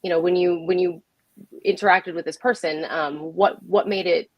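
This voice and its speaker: A woman's voice: on the low side, fairly even intonation, normal speed, no room sound, lo-fi sound. A woman speaking as the host of a livestream show, introducing and interviewing.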